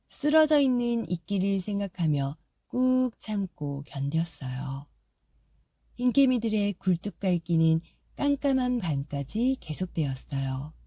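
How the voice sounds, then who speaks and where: A woman narrating a fairy-tale audiobook in a soft, gentle storytelling manner. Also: medium pitch, no echo, studio quality.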